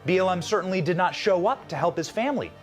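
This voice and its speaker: A man delivers scripted political commentary. His voice sits slightly high and moves around a lot in pitch, over faint music. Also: lively intonation, fast.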